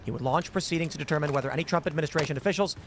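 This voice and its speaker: A man's voice: on the high side, slight background noise. A man hosting a casual political talk show, reading news aloud and often restarting his sentences. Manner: halting, many restarts. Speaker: podcast host.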